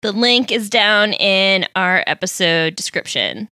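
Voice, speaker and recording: A woman's voice: medium pitch, very animated, unhurried, pushed and bright, clear, resonant tone, no echo. A woman co-hosting a comedic podcast, chatting casually in a playful, teasing, giggly way. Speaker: podcast co-host.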